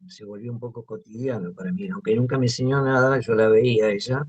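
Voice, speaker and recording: A man with an Argentine accent, talking in an interview about his drawing, pausing often with fillers and restarts. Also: mid-range voice, steady tone, a little mellow. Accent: Argentine Spanish. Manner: hesitant, many fillers and restarts. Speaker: guest artist. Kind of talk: relaxed interview talk. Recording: muffled lo-fi audio.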